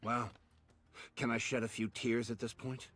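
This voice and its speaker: Game role, idealistic male commander; rough-edged husky tone, solemn measured delivery, faint music behind. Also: mid-range voice, level tone, fairly bright tone. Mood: wry. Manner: measured, grave. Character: weary, idealistic male military commander, quietly determined. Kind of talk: scripted game voice acting.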